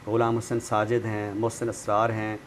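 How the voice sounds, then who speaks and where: A man speaking in a measured, formal, literary way as a commentator discussing books. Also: fairly low pitch, monotone. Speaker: literary commentator and guest expert. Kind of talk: literary talk and book review with some poetry recital. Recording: clearly noisy background.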